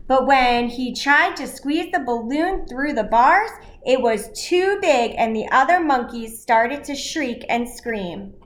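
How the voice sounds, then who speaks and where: A woman reading a children's storybook aloud in simple, deliberate phrases, as an educator addressing young listeners. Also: sits high, lively intonation, roomy sound, slight background noise.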